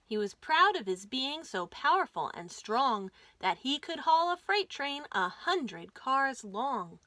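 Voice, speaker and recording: A woman hosting a children's storytime, reading aloud with an expressive, deliberate delivery for young listeners. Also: high-pitched, no echo. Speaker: children's librarian and storytime host.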